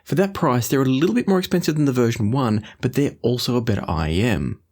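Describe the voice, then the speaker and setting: A man's voice: medium pitch, expressive, quick pace, a little mellow. A man presenting an explanatory product review as the host of an audio channel.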